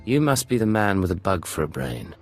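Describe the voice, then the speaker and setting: A man's voice: sits low, big pitch swings, a little fast, faint music behind. A man playing a dry, sardonic secret agent in a video game, with a clipped, wry delivery.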